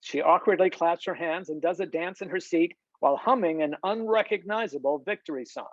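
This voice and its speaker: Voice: medium pitch, fairly quick, dry recording. Dry, slightly dull screenplay narrator. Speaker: narrator reading stage directions. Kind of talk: scripted narration, screenplay table read. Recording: slightly dull video-call audio, dry.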